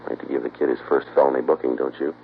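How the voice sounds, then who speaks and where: A man's voice: rumbling low, racing, vocal fry. A man playing a clipped, matter-of-fact police detective who narrates and questions a suspect in a 1950s radio crime drama. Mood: probing. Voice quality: terse, flat, matter-of-fact. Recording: tinny old radio broadcast, faint hiss.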